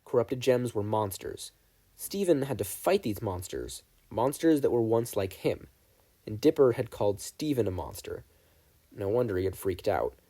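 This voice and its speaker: A man narrating fan fiction from a script in an expressive storytelling style. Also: medium pitch. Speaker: podfic narrator.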